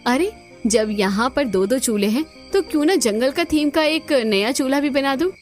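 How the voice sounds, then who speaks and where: एक औरत जो एक दयालु, होशियार जवान बहू को आवाज़ देती है।. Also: female voice, high-pitched, animated, moderate pace, faint music behind. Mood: gentle. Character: kind, resourceful young daughter-in-law.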